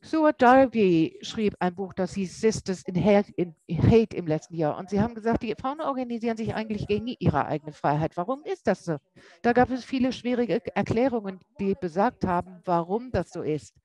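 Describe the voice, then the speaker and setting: Halting woman interpreting a lecture live. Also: mid-range voice, lively intonation, mellow, poor audio. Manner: halting, with frequent self-corrections. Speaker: simultaneous interpreter.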